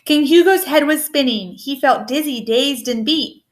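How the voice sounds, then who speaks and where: A woman reading a rhyming picture book aloud in an expressive storytelling style. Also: high voice, moderate pace. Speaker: storybook reader.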